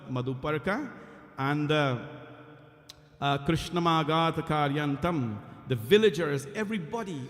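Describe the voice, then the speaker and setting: A man from Guyana, a temple priest, giving an earnest, emphatic devotional sermon with frequent pauses. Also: fairly high pitch, a bit bright. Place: temple hall. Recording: echoey temple hall.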